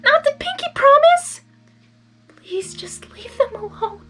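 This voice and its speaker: A woman voicing an excitable, protective female pony in a storybook, shrill and full of exclamation. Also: a little slow, faint music behind, heavily acted. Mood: distraught.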